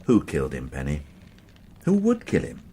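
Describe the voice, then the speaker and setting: A British police inspector in a radio crime drama. His low voice moves expressively as he questions, dry and measured, over slight noise. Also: wildly swooping pitch, dark tone, full character voice. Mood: probing.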